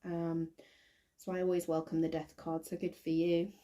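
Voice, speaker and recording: A woman with a croaky voice doing a casual tarot reading to camera, thinking aloud with many ums and restarts. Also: on the low side, level tone, moderate pace, warm tone. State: croaky, recovering from bronchitis. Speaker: tarot reader.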